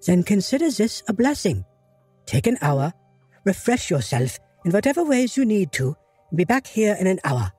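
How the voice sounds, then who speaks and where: A man's voice: high-pitched, big pitch swings, normal speed, a little mellow, slightly rough, no room sound, faint music behind, voice pushed up, full character voice. A man voicing a disguised female soldier who speaks in old-fashioned, commanding phrases.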